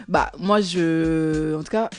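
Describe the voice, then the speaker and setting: A woman's voice: sits low, slow pace. A woman singer answering interview questions casually, with slang, frequent giggles and many hesitations.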